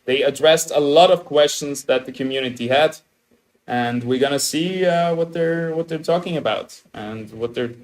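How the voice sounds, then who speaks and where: A young man with an Austrian German accent, chatting excitedly to his viewers as a game streamer. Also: a little high, moderate pace, rough recording. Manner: excitable, upbeat, chatty with restarts. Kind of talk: casual livestream chat, greeting viewers.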